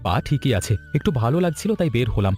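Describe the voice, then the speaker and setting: Male actor as courteous, guarded city stranger, cartoon drama: soft-edged mellow tone, hesitant, faint music. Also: mid-range voice, very animated, brisk, natural voice. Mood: reflective, polite. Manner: polite, hesitant. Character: polite, evasive city man, a secretive guest. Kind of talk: cartoon story dialogue.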